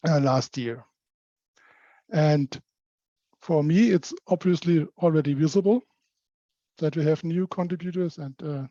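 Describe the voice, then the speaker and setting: A man presenting at a business community call as a working group member, speaking haltingly with non-native phrasing. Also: a little high, warm tone. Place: indoor meeting room, on-site group meeting. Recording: dull, echoey meeting-room call audio.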